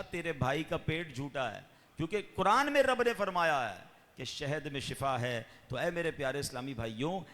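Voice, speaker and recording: A man preaching a sermon, fervent, with raised peaks and slow drawn-out chanting. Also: a little high, fairly bright tone. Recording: long hall reverb.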